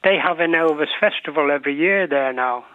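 A man's voice: fairly high pitch, brisk, no echo. A man in his seventies from Ireland with a Mancunian accent, a caller chatting fondly and rambling with many fillers. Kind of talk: casual chat. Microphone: telephone. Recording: dry, tinny phone line.

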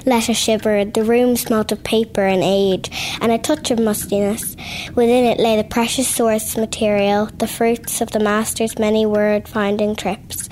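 A woman reading passages from a novel aloud in a measured storytelling manner. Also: mid-range voice, darkish tone, slight background noise, rough recording. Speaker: reader.

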